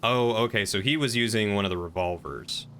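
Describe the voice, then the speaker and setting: A man's voice: lowish voice, fairly quick, fairly bright tone, slight background noise. A man streaming a game and talking casually with his fellow players. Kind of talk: casual gaming stream chat.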